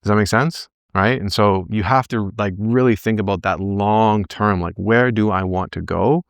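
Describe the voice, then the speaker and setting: Low-voiced man coaching a group. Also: moderate pace, gravelly, smooth, no room sound. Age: early 40s. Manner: conversational, acts out his own thoughts as quotes, often tags points with "right?". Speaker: back pain coach leading a group session. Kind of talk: informal motivational talk to a group.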